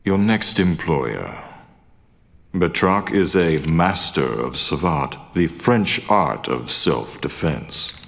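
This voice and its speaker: An actor playing a smug, businesslike male mercenary villain in a cartoon, with a gravelly, deliberate delivery. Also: deep voice, animated, a bit bright, big-room sound, heavily acted. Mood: smug menace.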